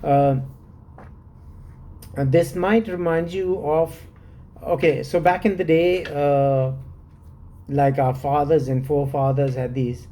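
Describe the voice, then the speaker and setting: Man, mellow low-key voice, unhurried, hesitant, many fillers, solo review. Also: steady tone. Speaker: fragrance reviewer.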